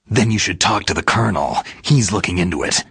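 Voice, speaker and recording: An American actor playing a gruff, weary secret agent in a video game, gravelly and husky, speaking in terse questions and replies. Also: male voice, low voice, a little fast, fairly bright tone, no echo, exaggerated voice. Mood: businesslike.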